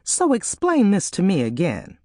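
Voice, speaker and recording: Woman playing ambitious wife, audiobook drama, insistent, questioning, highly animated intonation, dry sound. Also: moderate pace, dry recording. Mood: puzzled, probing.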